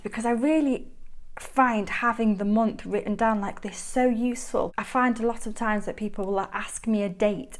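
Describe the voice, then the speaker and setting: Young mancunian woman chatting away about her planner, bubbly, laughs a lot, middle pitch. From Manchester, England. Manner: chatty, enthusiastic, laughs often. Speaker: planner vlogger. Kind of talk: casual show-and-tell chat.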